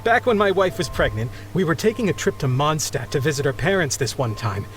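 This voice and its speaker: Video game sea captain , male actor: flustered, embarrassed, humble, lively swooping delivery, music underneath. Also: a little high, fairly quick, natural voice. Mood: sheepish. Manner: flustered, hesitant, apologetic. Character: embarrassed, grateful middle-aged married sea captain. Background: background music.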